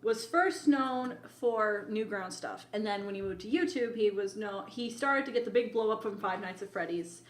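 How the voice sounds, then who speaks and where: A woman co-hosting a casual podcast, telling anecdotes in an animated way and often interrupting herself. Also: mid-range voice, moderate pace, few pauses, a little hiss or hum. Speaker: podcast co-host. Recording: roomy echo, music playing behind.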